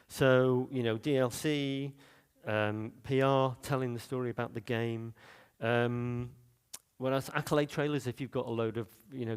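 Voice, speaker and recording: A man from Manchester with a Manchester accent, speaking at a conference in a mid-pitched voice. His delivery is loose and conversational, full of ums, restarts and asides as he gives his talk. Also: clean tone, long reverb tail. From Manchester, England. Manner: loose, chatty delivery with many fillers and restarts.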